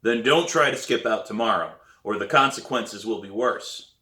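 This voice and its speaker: A man's voice: fairly low pitch, normal speed, pushed and bright. A man reading a children's novel, playing a stern, formal and intimidating male school principal. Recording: roomy echo.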